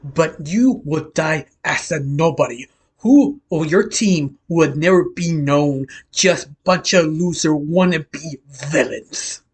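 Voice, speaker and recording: A performer voicing a fat male mafia pig villain, pompous and cruel, with slow, drawn-out, grandiose threats. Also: medium pitch, slightly rough. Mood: gloating.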